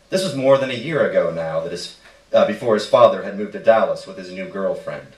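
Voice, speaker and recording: Male live story reader, lower register, roomy distant sound. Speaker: reader of a short story on stage. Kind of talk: live literary reading, narration. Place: indoor stage reading in a roomy space. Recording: echoey room, distant microphone.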